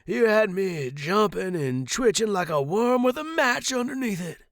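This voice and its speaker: Man putting on a high, blustering old street wino. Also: very animated, measured pace, dry recording, clean studio sound, exaggerated voice. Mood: angry, aggrieved. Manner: slangy, blustering, loud outbursts. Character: brash, boozy old street-performing wino, male, cantankerous.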